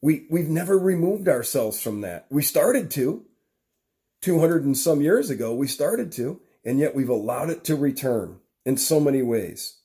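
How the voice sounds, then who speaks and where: A man speaks in a warm, mellow voice. He delivers an unscripted monologue, earnest and rambling. He often stops and restarts his sentences. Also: a little high, animated, unhurried.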